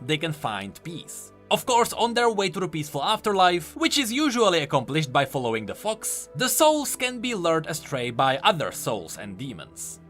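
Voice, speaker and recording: A man's voice: on the high side, bright, forceful tone. A man giving enthusiastic, explanatory commentary on video game lore, leaning hard on key words for emphasis.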